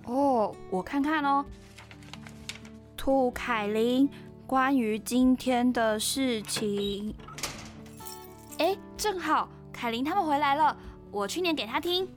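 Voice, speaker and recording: A woman's voice: sits high, slow, long pauses, faint music behind. A woman with a Taiwanese Mandarin accent playing a playful, meddling young dorm mate in a podcast radio drama. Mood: curious, mischievous.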